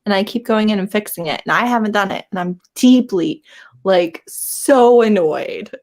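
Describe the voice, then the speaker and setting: A woman's voice: mid-range voice, expressive, normal speed, a little mellow. A woman hosting a casual live group chat about books, with a blunt, playfully teasing manner. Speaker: host of a live readalong.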